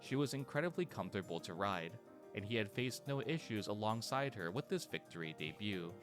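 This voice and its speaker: Deep-voiced man narrating a documentary. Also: a little fast. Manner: steady storytelling delivery. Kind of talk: scripted narration. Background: soft music.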